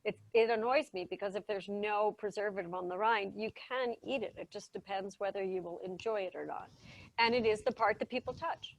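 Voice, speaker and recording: A woman's voice: mid-range voice, brisk, no room sound, poor audio. Chatty woman explaining cheesemaking. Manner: chatty, enthusiastic, self-correcting. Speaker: cheese expert presenter. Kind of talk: casual explanatory talk.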